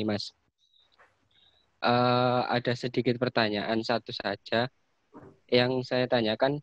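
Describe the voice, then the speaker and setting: A man's voice: lowish voice, dry recording, dull audio. A man joining an online seminar to ask a question, eager and polite. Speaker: seminar participant asking a question.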